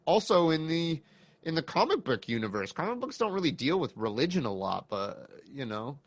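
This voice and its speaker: A man's voice: fairly high pitch, big pitch swings, normal speed, dry recording. A man in his thirties chatting casually as a podcast guest, enthusiastic and reflective. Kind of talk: casual chat.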